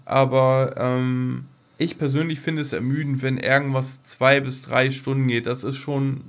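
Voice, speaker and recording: A man's voice: medium pitch, flat delivery, measured pace. A middle-aged man co-hosting a podcast in casual, unscripted conversation, explaining things and checking for agreement. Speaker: podcast co-host.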